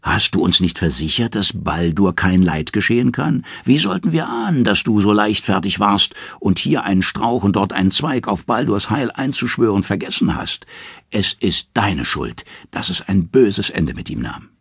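Man playing a sly, gravelly trickster god. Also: on the low side, big pitch swings, moderate pace, a bit bright, no room sound, studio quality, exaggerated voice. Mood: accusing. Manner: sly, wheedling, manipulative. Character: scheming, two-faced male trickster god.

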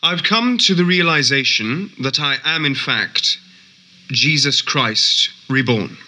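An actor playing an earnest, composed man who claims to be a messiah in a radio play, speaking in a measured, formal way. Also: medium pitch, animated, sharp tone, exaggerated voice. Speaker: talk show guest. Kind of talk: radio play acting as an interviewee.

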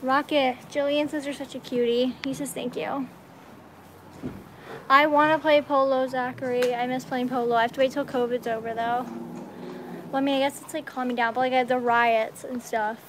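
Bubbly young American woman, high-pitched. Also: normal speed. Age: young adult. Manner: bubbly, excitable, slangy. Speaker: livestreaming vlogger. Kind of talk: casual livestream chat. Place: metal-walled horse stall in a barn. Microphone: phone at arm's length. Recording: noisy barn ambience, handheld phone.

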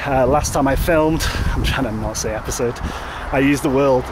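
A man's voice: medium pitch, normal speed. A man talking casually to a handheld phone as he walks outside, rambling with lots of fillers and restarts. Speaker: vlogger. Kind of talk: casual walking vlog. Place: outdoors, walking by a road with traffic. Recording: outdoor wind and traffic noise.

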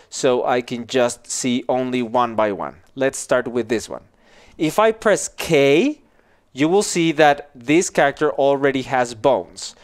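A man in his forties giving an informal software tutorial, explaining casually step by step into a headset microphone in a small office. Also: a little high, smooth. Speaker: animation tutorial instructor.

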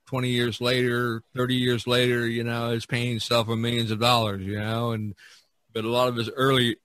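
A man hosting a casual podcast discussion, speaking haltingly and often repeating words and phrases. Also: mid-range voice, monotone, fairly bright tone. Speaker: podcast host.

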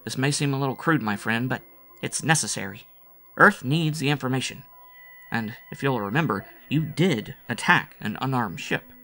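Man acting a sharp, gravelly, commanding space leader. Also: medium pitch, faint music behind, voice pushed up. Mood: menacing calm. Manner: brisk, commanding, grim. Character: commanding, shrewd Japanese man, coolly menacing spaceman. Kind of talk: audiobook character voice.

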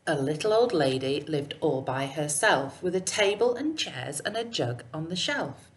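A woman's voice: sits low, big pitch swings, moderate pace. A woman in her thirties reading a children's storybook aloud expressively. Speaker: teacher reading a bedtime story. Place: small room indoors. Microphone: webcam at arm's length.

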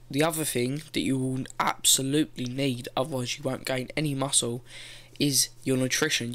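A man's voice: mid-range voice, sharp tone. A teenage boy giving casual gym advice from his bedroom, loose and rambling, swearing now and then, talking into a gaming headset. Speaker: fitness vlogger. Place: bedroom, indoors.

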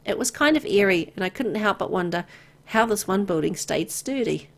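A woman's voice: mid-range voice, lively intonation, normal speed, gravelly. A woman reading a first-person story aloud in a dramatic narrating style. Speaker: visual novel reader.

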